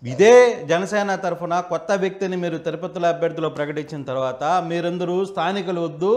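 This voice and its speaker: Brisk anchor man moderating a debate. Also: on the high side. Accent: Andhra Telugu. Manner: brisk, cuts in to steer the debate.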